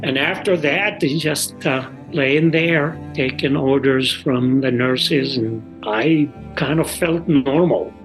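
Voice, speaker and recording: A middle-aged or older man recounts his own experience in unscripted, plain-spoken recollection, calm and halting. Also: mid-range voice, low-quality recording. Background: soft guitar music.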